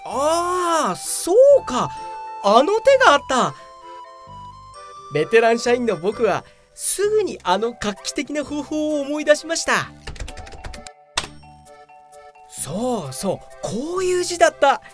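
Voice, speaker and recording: A woman's voice: sits high, huge pitch swings, a little slow, exaggerated voice. A woman playing a hapless, grumbling veteran male office worker who narrates his own comic audio drama in a whiny, self-important way. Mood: cheerful relief. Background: prominent background music.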